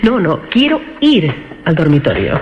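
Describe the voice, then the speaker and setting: A woman acting a brisk, teasing, skeptical visitor in a suspense radio drama, with a Castilian Spanish accent. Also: fairly low pitch, big pitch swings. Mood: intrigued. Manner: teasing, exclamatory, probing. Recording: dull radio sound, long reverb, music.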